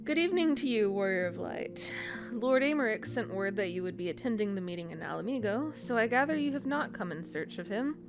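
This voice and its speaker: A composed, dutiful lady knight, acted out by a woman in a fantasy game. Her speech is formal and measured, and music plays clearly behind her. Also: mid-range voice, lively intonation, lightly acted.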